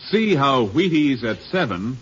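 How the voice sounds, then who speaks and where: A man announces in the style of 1950s radio. His voice is medium in pitch and noticeably husky. The old broadcast recording is a little dull. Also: sing-song, measured pace.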